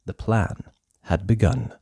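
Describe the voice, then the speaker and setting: A storyteller reading a children's book aloud in a theatrical, deliberate way, with emphatic words and hushed passages. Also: deep voice, slightly rough, dry recording. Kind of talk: expressive children's story narration.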